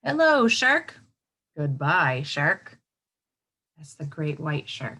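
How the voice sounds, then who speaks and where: A woman's voice: low-pitched, sing-song, slow, pushed and bright. A woman reading a children's book aloud as a teacher, expressively, with simple explanatory asides for young listeners.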